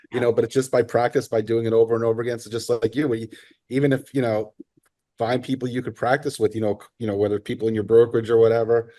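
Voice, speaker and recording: A man's voice: mid-range voice, warm tone, no echo. A man speaking as a guest at a real estate seminar, casual, with many fillers. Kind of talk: seminar talk.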